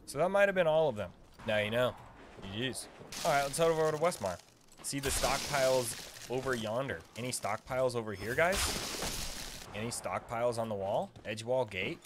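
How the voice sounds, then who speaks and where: A man's voice: mid-range voice, noisy background. A man in his thirties doing casual, slangy livestream commentary on a game, speaking playfully into a close desk mic. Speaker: video game streamer and guide host. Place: small indoor room, streaming setup. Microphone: close desk mic on boom arm. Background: game music.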